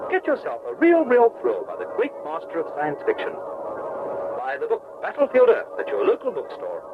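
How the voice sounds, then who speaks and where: An actor voicing a gushing, excitable pitchman in an emphatic, hyped radio advertisement. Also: moderate pace. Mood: excited, urging. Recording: muffled, noisy radio advertisement.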